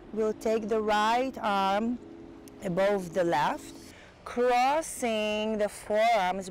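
Woman yoga teacher, slow gentle cues, slightly high voice, music playing behind. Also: low-quality recording. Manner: slow, soft-spoken step-by-step cues. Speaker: yoga instructor. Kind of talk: guided yoga instruction. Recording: voice over loud music.

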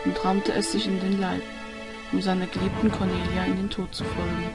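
A woman's voice: on the low side, flat delivery, fairly quick, over music. A woman reading scripted narration for an audio drama.

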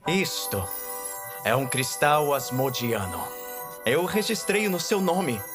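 A man's voice: fairly high pitch, sing-song, slow pace, music in the background. A man with a Brazilian accent voicing a regal, lovelorn owl demon prince in a dubbed adult cartoon, formal, earnest and tender. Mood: gentle, solemn.

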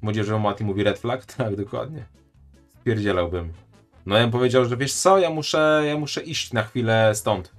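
A man's voice: on the low side, normal speed, faint music behind. A man live-streaming his reaction to a video, chatting and joking with his viewers. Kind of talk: casual live-stream commentary.

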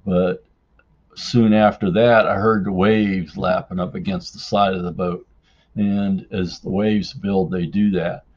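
A man telling a personal story casually in an unhurried, folksy way, as in a listener's voicemail. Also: deep voice, little pitch movement, a little mellow. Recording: dull, lo-fi voicemail-like sound.

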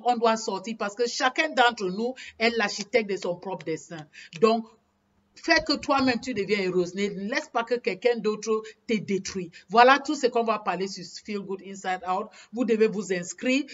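A middle-aged woman from Cameroon with an English speaker's accent talks straight to her webcam in front of curtains. Her delivery is animated and encouraging, and she repeats words as she hunts for the right phrase. The sound is slightly muffled and lo-fi. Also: on the high side. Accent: Cameroonian English speaker speaking French. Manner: animated, repeats words while searching for French phrasing, gestures with hands. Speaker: pediatrician and life coach. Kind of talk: direct-to-camera motivational talk. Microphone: webcam at desk.

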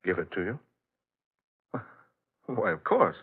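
A serious husband caught up in a mystery, speaking in a deep voice with deliberate drama. The style is forties radio horror. The old recording is somewhat muffled. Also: male voice, very animated, slightly rough, full character voice. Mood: calm, serious. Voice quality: low-pitched voice. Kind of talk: 1940s radio horror acting.

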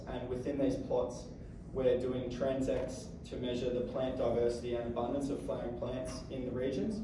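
A young man giving a graduate research talk in a lecture theatre, earnest and plain, with many uh fillers. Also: mid-range voice. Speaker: graduate student presenter. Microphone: room mic from far off. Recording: very echoey hall from a distance, audible room noise.

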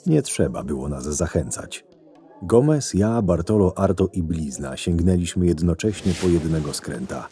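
A man playing a shrewd, sardonic right-hand man to a camp boss, narrating a fantasy audio drama in a measured way. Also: sits low, lively intonation, mellow. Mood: sardonic, reflective. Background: music.

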